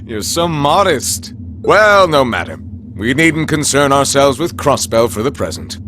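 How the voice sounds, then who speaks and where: A man's voice: medium pitch, sing-song, fairly bright tone, a little hiss or hum. An actor playing a haughty, contemptuous nobleman villain in a video game, speaking with grandiose pomp. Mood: smug. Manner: pompous, grandiose, slow oratory. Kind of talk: dramatic video game cutscene acting.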